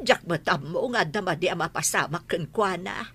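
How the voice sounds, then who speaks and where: A woman's voice: fairly low pitch, normal speed, a bit bright, breathy edge, no room sound. An actress playing an aggrieved young woman pleading her case, with a Filipino accent, in radio comedy-drama style. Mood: hurt, insistent.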